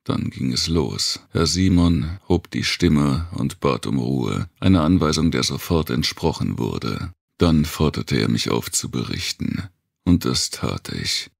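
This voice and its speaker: A man narrating a post-apocalyptic thriller audiobook in the first person, with a measured, deliberate delivery and dramatic pauses. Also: extremely low pitch, expressive, creaky, dry recording, clean studio sound.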